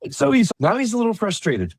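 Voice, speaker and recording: A man co-hosting a podcast, retelling a story in lively casual chat and acting out the people in it. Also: creaky, slightly rough, no echo, studio quality. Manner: animated, acts out quoted voices with big pitch jumps. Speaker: podcast co-host.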